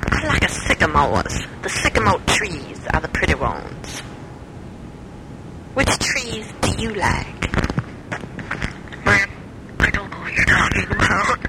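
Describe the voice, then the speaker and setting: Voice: mid-range voice, sing-song, slow, vocal fry, pauses often, heavy background noise. An adult chatting casually with their mother, giggling, silly and playful. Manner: giggly, silly, breaks into song and goofy voices. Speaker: adult child recording with mother. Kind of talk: casual chat.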